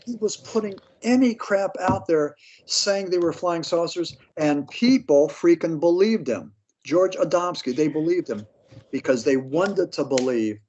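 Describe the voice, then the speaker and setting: A man's voice: a little high, animated, unhurried, a little mellow, highs cut off. An American man talking casually as an interview guest, blunt, skeptical and slangy in his opinions. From Pennsylvania, United States. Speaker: interview guest, paranormal author.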